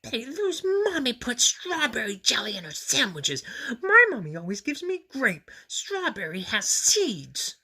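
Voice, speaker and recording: A man's voice: very high-pitched, huge pitch swings, a little slow, sharp tone, slightly rough, voice pushed up, full character voice. A man voicing a little boy monster from a storybook, whiny, emphatic and easily upset. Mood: fussy.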